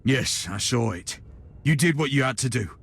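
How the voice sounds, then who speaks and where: An actor playing a formal, authoritative and calculating inquisitor in a grim sci-fi video game. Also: male voice, medium pitch, animated, moderate pace, a bit bright, breathy edge. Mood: firm, persuasive. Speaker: game character. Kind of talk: dramatic video game cutscene acting.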